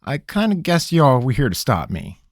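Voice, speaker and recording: A man voicing a nervous, rambling young farm-boy mage with a high, twangy, folksy voice. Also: very animated, moderate pace, no echo, studio quality, natural voice. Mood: rambling excuses. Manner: jittery, wheedling, folksy.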